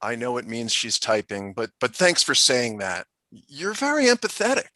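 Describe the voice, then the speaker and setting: An actor as a placating, bright-voiced school principal. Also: male voice, medium pitch, huge pitch swings, moderate pace, dry recording. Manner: hesitant, placating. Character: tactful, awkward adult male school principal. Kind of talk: comedy script table read.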